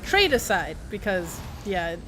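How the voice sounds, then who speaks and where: A woman's voice: medium pitch, very animated, unhurried, noisy background. A woman giving casual live game commentary, rambling and playfully self-deprecating as she chats to viewers and game characters. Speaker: game livestreamer.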